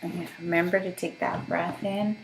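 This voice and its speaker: A woman's voice: fairly low pitch, steady tone, moderate pace, creaky, echoey room, slight background noise, low-quality recording. A woman working as a voice therapist, giving patient step-by-step instructions for voice exercises and checking in often. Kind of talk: guided voice therapy session.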